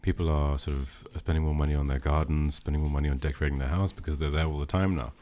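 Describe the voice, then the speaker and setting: A man's voice: a bass voice, steady tone, fast, warm tone. A man, hosting an interview in a casual, chatty way. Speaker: podcast host, interviewer.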